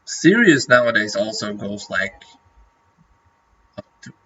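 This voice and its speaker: A man's voice: on the low side, very animated, slow pace, a bit bright, dull audio. Norwegian man chatting on a livestream. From Norway. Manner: rambling, many fillers and restarts. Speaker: streamer. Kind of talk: casual livestream chat.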